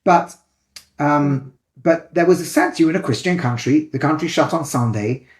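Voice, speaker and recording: A man with a light Manchester accent talks through ideas in an animated, conversational way. His voice sits a little high. The room around him is noticeably echoey. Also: moderate pace. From England. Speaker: rabbi and educator. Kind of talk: thoughtful conversational explanation.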